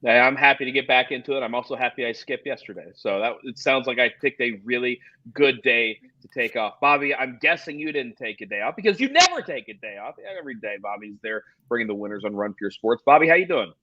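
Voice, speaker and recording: A man hosting a sports fantasy-betting show with upbeat, energetic delivery. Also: medium pitch, fast, no room sound. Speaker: podcast host. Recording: dull lo-fi sound.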